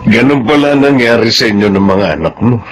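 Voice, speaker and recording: An actor playing an earnest older man pleading for marriage in a Tagalog radio drama, with a husky voice. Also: medium pitch, brisk, over loud music, close to an everyday voice. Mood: sympathetic.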